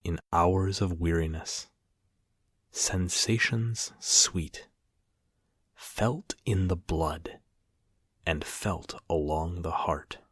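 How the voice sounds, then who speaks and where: A man with a husky, breathy voice gives a solemn poetry reading in measured short phrases with pauses between them. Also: deep voice, no echo, studio quality. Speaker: poetry reader.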